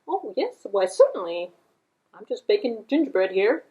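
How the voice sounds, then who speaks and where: A woman's voice: medium pitch, sing-song, moderate pace, mellow, highs cut off, close to an everyday voice. A woman voicing a polite, apologetic housewife in a puppet tale, hesitant, repeating words and eager to agree. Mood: agreeable. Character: polite, apologetic housewife, a fretful kindly mother.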